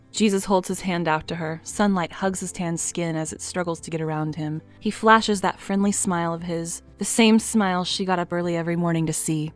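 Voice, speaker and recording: A woman narrating an audio drama in a soft, storybook manner. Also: lowish voice.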